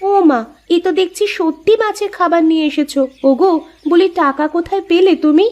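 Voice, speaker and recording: A woman voicing a bossy, excitable village wife in a moral-story cartoon, exclaiming and giving directions. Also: very high voice, a little fast. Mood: surprised.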